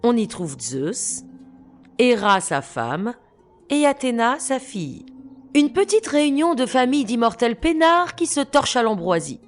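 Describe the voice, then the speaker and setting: A woman's voice: fairly high pitch, big pitch swings, a little slow, soft background music. A woman narrating a comic audio drama in a wry, lightly mocking way.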